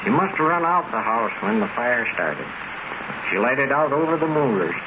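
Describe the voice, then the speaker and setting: A man's voice: mid-range voice, big pitch swings, normal speed, natural voice. An actor playing a folksy, plain-spoken local man with a grave, matter-of-fact delivery in an old-time radio drama. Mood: grave gossip. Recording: noisy, dull vintage radio recording.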